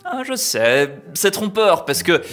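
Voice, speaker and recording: A man's voice: sits high, very animated, slow, fairly bright tone, soft background music, exaggerated voice. A man playing a self-important corporate employee in a comic audio drama, explaining things stuffily in office jargon. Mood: condescending.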